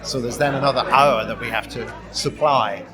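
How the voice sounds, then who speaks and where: Measured man explaining over party music. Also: mid-range voice, crowd noise. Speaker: interviewee, stage adapter. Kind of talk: explanatory interview. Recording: loud music behind.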